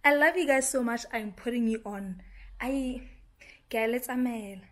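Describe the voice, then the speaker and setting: A young woman from Botswana with a Botswana English accent, chatting excitedly to her camera in a casual vlog, often dropping to a half-whisper. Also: a little high, a little slow. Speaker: vlogger. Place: small room indoors, later a parked car. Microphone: handheld camera at arm's length.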